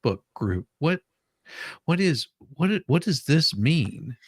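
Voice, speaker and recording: Animated, giggly host in a dry room. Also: mid-range voice, slow, breathy edge, dry recording. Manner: giggly, easily cracks up between read lines. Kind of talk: dramatic reading of a deposition transcript with casual banter.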